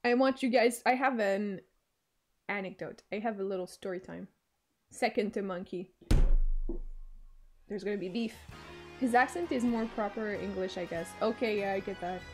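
A young woman with a French Canadian accent, whose first language is French, chatting casually and excitably while streaming a game, swearing freely and humming to herself. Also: medium pitch, normal speed, long pauses. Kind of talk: casual live-stream chat while gaming. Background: faint game music.

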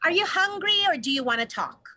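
A woman's voice: very high-pitched, expressive, a little fast, sharp tone, full character voice. A woman playing a cautious, sceptical early human woman in a dramatic play reading. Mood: wary, scolding. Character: first Woman, cautious, sceptical, gently scolding. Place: room at home, on video call.

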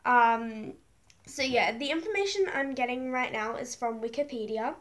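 A woman's voice: on the high side, moderate pace, a bit bright. A young woman vlogging in her bedroom with a stuffy nose, chatting to camera in a rambling way and reading from her phone. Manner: chatty and rambling, many fillers and restarts. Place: small bedroom indoors. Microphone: webcam in front of her.

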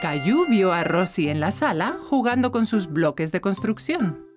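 A woman's voice: medium pitch, big pitch swings, moderate pace, music in the background, close to an everyday voice. A woman with a Latin American accent reading scripted narration as the storyteller of a children's cartoon.

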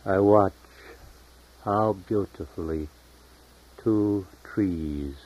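A man reading his own poetry in a solemn, measured, deliberate way with long pauses, as a poet at a reading. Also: low-pitched. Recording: dull lo-fi 1970s tape recording.

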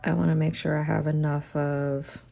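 A middle-aged woman chats her way through a nail art unboxing, her voice croaky and gravelly, low and mellow in tone. She talks slowly and loosely, wandering between thoughts with plenty of fillers. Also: flat delivery. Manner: rambling, thinking aloud with many fillers. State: croaky from a sore throat. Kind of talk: casual unboxing chat. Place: tabletop crafting desk, camera overhead.

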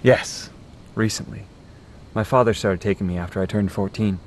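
Actor as an earnest, low-voiced young man. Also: steady tone, moderate pace, a little hiss or hum, lightly acted. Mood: reflective. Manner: earnest, solemn, persuasive. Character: earnest, intense young man rallying his companions in a ravaged world. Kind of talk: audio drama acting.

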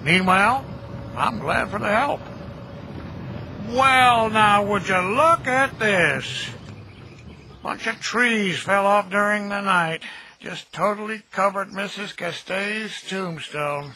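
Cheerful old cemetery caretaker, high-pitched, folksy and chatty, radio-play feel, noisy outdoor ambience behind. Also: male voice, slow pace, pushed and bright, full character voice. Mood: easygoing, then kindly, fussing. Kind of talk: audio drama acting.

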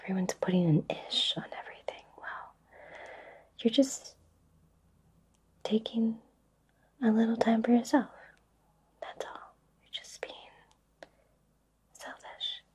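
A woman whispering breathily in a soothing, reassuring way with long pauses, guiding an ASMR Reiki session. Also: a little high, a little mellow. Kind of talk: whispered ASMR personal attention.

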